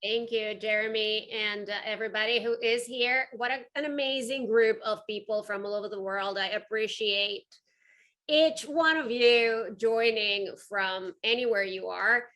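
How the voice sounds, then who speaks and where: A woman's voice: on the high side, steady tone, unhurried, sharp tone. A woman with a Venezuelan accent giving a conversational talk to an audience as a guest speaker, earnest and emphatic. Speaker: guest speaker, art curator and entrepreneur.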